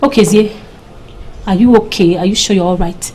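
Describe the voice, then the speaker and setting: A woman's voice: medium pitch, moderate pace, a little hiss or hum, close to an everyday voice. An actress playing an outraged, proud young village woman with a Nigerian accent, scolding in a film drama. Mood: wary. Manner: indignant, scolding, loud emphatic outbursts.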